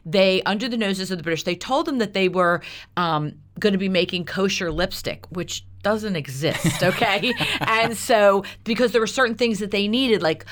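A woman's voice: medium pitch, moderate pace, a bit bright. A woman being interviewed as a guest, telling a historical story in a conversational, explanatory way with frequent asides.

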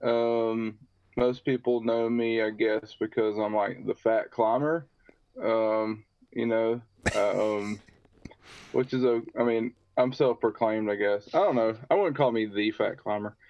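A man's voice: on the low side, fairly even intonation, measured pace, no room sound, highs cut off. A man from the southern United States talking casually as a podcast guest, easygoing and hesitant with many ums. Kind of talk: casual interview talk.

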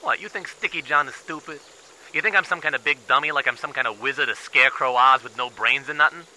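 An actor playing a shady, dim-witted, blustering small-time dealer in an audio drama, with a slangy drawl. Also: male voice, medium pitch, fast, background noise, natural voice. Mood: threatening. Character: shady dim-witted menacing male riverside drug dealer.

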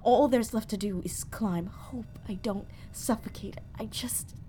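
A woman playing a traumatized, betrayed space explorer in a sci-fi horror audio drama, speaking in a halting monologue that slips into whispers. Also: mid-range voice, background noise, natural voice. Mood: fearful, weary.

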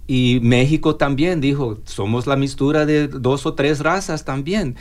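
A man on a discussion panel, talking in a halting way, restarting and hunting for the right word. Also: mid-range voice. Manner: halting, searching for words, many restarts.

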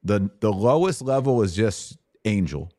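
A man's voice: on the low side, animated, unhurried, mellow, no room sound, studio quality. A man hosting an informal Bible question-and-answer podcast, explaining things in colloquial language with emphatic repetition. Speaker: podcast host.

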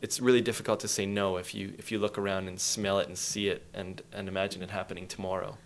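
A man's voice: deep voice, level tone, quick pace. A Canadian man guest explaining an event thoughtfully in casual chat, often restarting phrases. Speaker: guest, taskforce co-lead.

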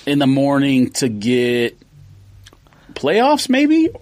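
A man's voice: mid-range voice, huge pitch swings, very slow, darkish tone, no room sound. A man in his forties, a podcast host, chatting casually and rambling through memories, with many restarts and fillers. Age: 40s. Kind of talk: casual chat.

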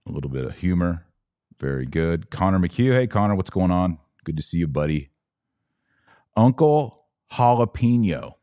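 A man's voice: sits low, very animated, moderate pace, warm tone, no echo, studio quality. A man from Texas hosting a podcast, chatting casually and answering live comments in a folksy, emphatic way, often repeating his advice. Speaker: podcast host.

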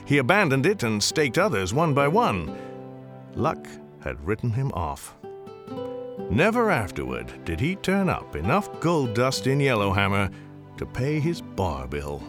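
An actor narrating a radio storybook tale of the Old West as a wry, courtly storyteller. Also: male voice, medium pitch, huge pitch swings, measured pace. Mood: wry storytelling. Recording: music behind the voice.

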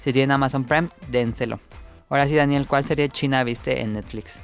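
A man with a central Mexican accent, co-hosting a casual podcast chat and recommending shows in a relaxed way. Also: mid-range voice, brisk, soft background music. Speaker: podcast co-host.

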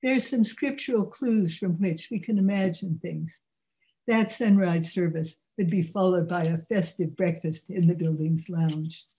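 An older woman with a low voice, speaking earnestly and at a measured pace as she delivers a religious talk. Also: warm tone.

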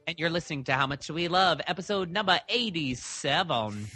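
A man's voice: a little high, animated, normal speed, bright, forceful tone, breathy edge, soft background music. A man co-hosting a casual chat podcast, making playful jokes and wordplay. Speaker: podcast co-host.

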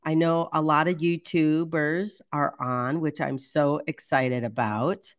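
An older woman with a low voice, casually co-hosting a live chat show, chatty and laughing easily. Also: fairly even intonation, slow. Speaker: livestream co-host.

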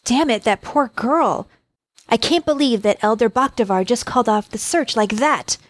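A man's voice: very high-pitched, moderate pace, no echo, voice pushed up. An indignant young woman telepath fires off emphatic, questioning lines, put on by a male narrator. The voice sits far above his own. It has the close feel of an audiobook reading.

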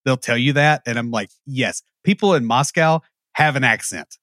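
A man's voice: medium pitch, moderate pace, a bit bright, no echo. A man co-hosting a casual podcast conversation, explaining ideas thoughtfully with occasional dry asides. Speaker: podcast co-host.

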